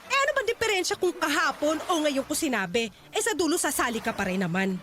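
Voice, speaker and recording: A woman playing a shrill, bossy stage mother. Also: sing-song, quick pace, fairly bright tone, a little hiss or hum. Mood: domineering. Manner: bossy, scolding, dismissive. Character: pushy, domineering middle-aged stage mother. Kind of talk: audio drama acting.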